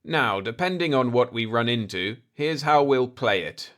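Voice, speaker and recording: A man voicing a shrewd, calm space diplomat with a dry, assured manner in a sci-fi audiobook. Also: mid-range voice, normal speed, natural voice.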